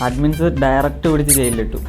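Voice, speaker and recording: A man's voice: medium pitch, steady tone, quick pace, a little mellow, soft background music. A man telling roleplay gaming stories in a colloquial, wry, self-mocking voice-over. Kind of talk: storytelling voice-over for gameplay video.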